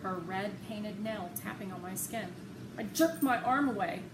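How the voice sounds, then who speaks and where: A woman narrating a children's novel aloud in an expressive storytelling style. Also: mid-range voice, moderate pace. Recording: echoey room with clear background noise.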